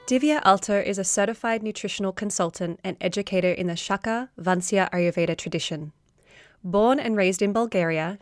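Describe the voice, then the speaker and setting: A woman's voice: medium pitch, dry recording, studio quality. A woman hosting a podcast, reading a scripted introduction and then interviewing, gushing and repeating words for emphasis. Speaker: podcast host.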